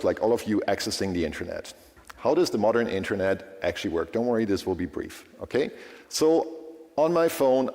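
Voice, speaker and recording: A man whose first language is German, giving a lively, conversational tech conference talk and often checking in with the audience. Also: mid-range voice. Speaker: conference speaker. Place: large conference hall. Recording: long hall reverb.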